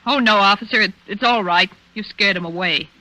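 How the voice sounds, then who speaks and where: A woman's voice: mid-range voice, brisk, pushed and bright, no echo, natural voice. An actress playing a bold young socialite woman in a 1940s radio drama. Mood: nervous, covering up. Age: young adult. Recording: hissy, dull vintage radio recording.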